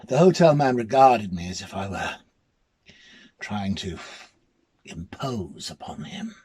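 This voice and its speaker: Husky man, slow haunted storytelling. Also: on the low side, very animated, darkish tone. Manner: hesitant, halting, repeating words. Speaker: actor reading a story. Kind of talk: dramatic first-person story performance.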